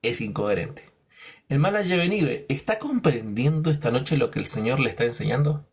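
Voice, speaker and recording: A man's voice: animated, normal speed, vocal fry, slightly rough. Emphatic male Bible teacher. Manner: rhetorical, acts out imagined dialogues; emphatic, drops low on verdicts. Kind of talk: preaching-style Bible lesson.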